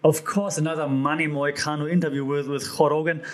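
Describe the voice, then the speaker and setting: A man hosting a solo podcast, talking in a casual, chatty way with lots of fillers and restarts, his voice a bit hoarse. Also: on the high side, little pitch movement, brisk. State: slightly hoarse. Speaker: podcast host.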